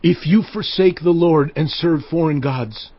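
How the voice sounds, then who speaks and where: An actor playing a stern, commanding Israelite leader, declaiming solemnly and emphatically in a dramatized audio Bible. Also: male voice, on the high side, a little slow, no echo, muffled recording. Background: music.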